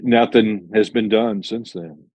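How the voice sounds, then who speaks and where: A man's voice: fairly low pitch, steady tone, measured pace, a little mellow, breathy edge, no room sound. A man who drives his own truck, answering interview questions in a plainspoken, earnest way, with many restarts and self-corrections. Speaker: owner-operator truck driver, interview guest.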